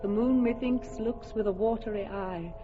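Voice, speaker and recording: A woman's voice: medium pitch, normal speed, highs cut off, faint music behind, natural voice. An actress playing a proud, doting fairy queen who speaks lyrical verse in a classic radio drama. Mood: tender. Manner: lyrical, imperious verse delivery.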